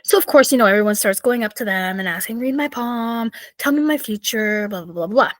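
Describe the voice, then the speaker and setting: A woman in her thirties with a raspy voice, hosting a podcast and chatting casually with lots of fillers. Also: fairly high pitch, a little fast, dry recording. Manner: chatty, rambling, with many fillers. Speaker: podcast host. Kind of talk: casual solo storytelling.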